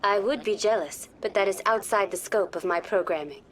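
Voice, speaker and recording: An actress playing a polite virtual tour guide program on a space station, speaking in formal, measured informative narration for a video game. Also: female voice, mid-range voice, natural voice. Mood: polite, neutral.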